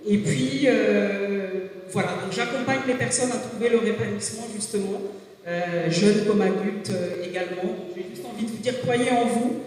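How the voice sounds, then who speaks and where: Woman in her 40s, swahili is her first language, halting coach talk, long reverb like a big gym. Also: medium pitch, a little mellow. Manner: hesitant, many filler sounds and restarts. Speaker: business coach speaking at a jobs fair. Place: large gymnasium hall. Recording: echoey gym hall from far off.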